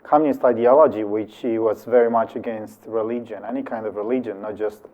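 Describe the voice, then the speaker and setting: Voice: medium pitch, brisk. An interview host who welcomes a guest and asks questions in an encouraging way, often replying with short back-channel sounds. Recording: tinny phone-like sound.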